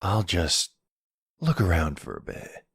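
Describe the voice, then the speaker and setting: A man's voice: low-pitched, sing-song, takes it slowly, a bit bright, husky, dry recording, exaggerated voice. A man playing a flirty, sardonic male vampire in an ASMR roleplay drama, teasing his rival with smug, dry sarcasm. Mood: smooth, polite.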